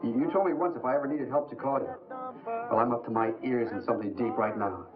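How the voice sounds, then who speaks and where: An actor in a 1950s crime film playing a frightened, halting man who is in trouble and pleading for help. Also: mid-range voice, a crowd in the background, lightly acted. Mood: anxious, pleading. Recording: tinny vintage film soundtrack with music.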